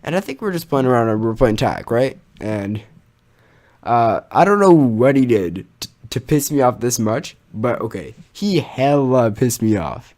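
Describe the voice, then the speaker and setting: Animated young man telling stories. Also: medium pitch, measured pace. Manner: animated, exaggerating, slangy and sweary. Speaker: storytelling YouTuber. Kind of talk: casual personal storytime.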